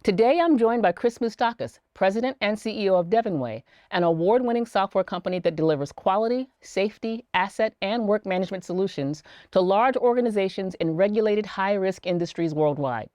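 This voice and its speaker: A woman hosting an interview series, reading a scripted intro and then asking questions in a measured, attentive way. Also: medium pitch, a little mellow, dry recording, studio quality. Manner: measured and attentive, frequent affirming murmurs. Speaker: interview host, executive coach.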